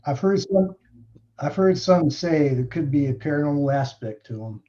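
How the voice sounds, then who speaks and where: A quiet, hesitant man out of place in a bar, played by an actor at a table read. His voice is a little high and polite, on muffled audio with clicks. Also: expressive, moderate pace, lightly acted. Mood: reserved. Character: reserved, out-of-place male suited stranger drinking alone. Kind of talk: film script table read.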